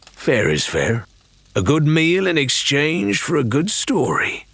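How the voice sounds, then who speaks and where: A big, whiskered innkeeper of a pirate tavern, acted by a man. He talks in hearty, folksy, rustic phrases with a husky edge. He is jovial and at ease, in a dry audiobook recording. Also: a little high, huge pitch swings, slow, a bit bright, dry recording, pitched above the performer's own voice, full character voice. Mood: agreeable. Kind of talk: audiobook character voice.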